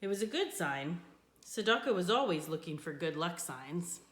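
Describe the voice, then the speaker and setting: Echoey, lower-voiced woman reading a storybook. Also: moderate pace. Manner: expressive, with character voices for dialogue. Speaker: reader of a children's book. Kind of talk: scripted storybook narration, read aloud. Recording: echoey room.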